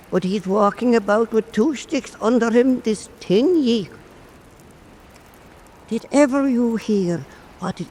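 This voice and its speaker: A man plays an old island storyteller in a folk radio drama, wry and pious. He speaks very slowly and deliberately, with rain and noise behind him. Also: sits high, warm tone. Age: older.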